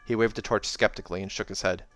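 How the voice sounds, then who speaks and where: A man's voice: on the low side, fairly even intonation, fast, a bit bright, no room sound. A man hosting a read-aloud of a fantasy novel, mixing narration with casual reactions. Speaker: reader and host. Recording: music behind the voice.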